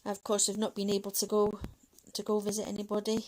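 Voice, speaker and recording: A woman's voice: mid-range voice, completely flat delivery, brisk, darkish tone, slight background noise. Chatty Mancunian woman with a Scottish lilt. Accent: Mancunian English with a Scottish lilt. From England, Manchester. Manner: chatty, rambling, many fillers and restarts. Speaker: livestream host. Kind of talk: casual chat while colouring.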